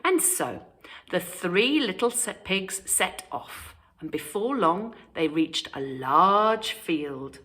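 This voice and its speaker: A woman's voice: fairly low pitch, lively intonation, slow, a bit bright. A woman with a British accent reading a children's story aloud with animation, turning aside to question her young listeners. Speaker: primary school storyteller.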